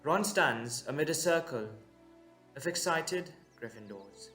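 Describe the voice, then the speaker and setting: Slow, deliberate male storyteller. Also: on the high side, expressive, a bit bright, faint music behind. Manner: measured, deliberate pauses. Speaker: narrator. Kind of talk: scripted dramatic narration.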